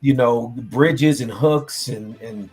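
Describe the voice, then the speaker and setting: A man co-hosting a casual music talk show, animated and loose, often restarting his sentences mid-thought. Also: medium pitch, slow pace, crowd noise. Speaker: co-host. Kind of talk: casual chat.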